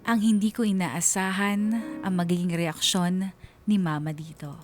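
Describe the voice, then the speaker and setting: A woman's voice: on the low side, fairly even intonation, measured pace, soft background music, natural voice. A woman playing a troubled elder sister, earnestly narrating her story in a Filipino radio drama. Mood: troubled, concerned. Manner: earnest, concerned.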